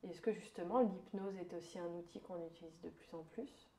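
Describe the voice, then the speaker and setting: A woman's voice: on the low side, fairly quick, dark tone. A woman hosting a casual podcast interview who asks questions and encourages with brief backchannels. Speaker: podcast host. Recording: echoey room.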